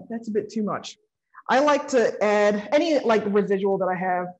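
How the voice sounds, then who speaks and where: A woman's voice: mid-range voice, a little mellow. A young woman co-hosting a live cooking demonstration in a large kitchen, explaining tips casually with joking asides, picked up by a distant camera. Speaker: cooking co-host. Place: large home-style kitchen, indoors. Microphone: far camera. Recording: dull lo-fi livestream audio.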